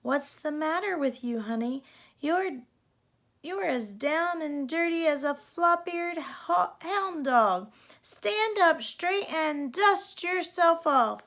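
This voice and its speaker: A woman's voice: high voice, expressive, takes it slowly, a bit bright, pitched above the performer's own voice, exaggerated voice. A woman voicing a sassy, bossy little old fairy godmother with folksy Wild West talk, in a storybook read-aloud. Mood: sassy, scolding.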